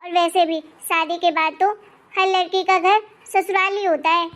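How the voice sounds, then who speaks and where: Woman as cartoon married daughter, extremely high voice, irritable, bossy, scolding, sarcastic. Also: steady tone, moderate pace, a bit bright. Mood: bossy, complaining. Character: irritable, bossy married daughter visiting her parents' home. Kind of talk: Hindi animated family story dialogue.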